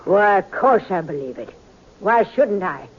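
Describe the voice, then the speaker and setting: A woman's voice: medium pitch, animated, measured pace, slightly rough. A proud, stubborn old prospector woman of the frontier, talks in folksy, defiant western speech. Her voice sits in a vintage radio recording, thin and narrow like a phone line. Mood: stubborn, assured. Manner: folksy, defiant, rustic grammar. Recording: tinny, band-limited vintage radio recording.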